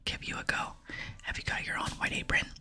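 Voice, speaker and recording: A woman's voice: brisk, bright, forceful tone. A woman voicing a welcoming male shop owner from a video game, read out in an ASMR whisper. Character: male food shop owner, welcoming, easily impressed employer.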